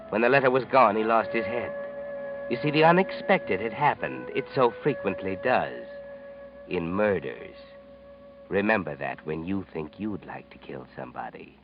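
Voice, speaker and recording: A male actor as an ominous American narrator of a 1940s radio mystery. His theatrical, knowing delivery rises and falls dramatically. Loud music accompanies him on an old, dull recording. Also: medium pitch, lightly acted. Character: sinister omniscient male storyteller of murder tales.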